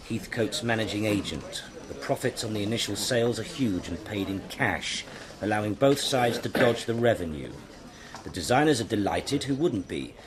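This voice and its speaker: An actor playing a stern British senior detective in a radio drama, with a clipped, commanding delivery. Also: male voice, fairly low pitch, natural voice. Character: stern, authoritative male senior police detective briefing his team. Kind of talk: BBC radio drama acting.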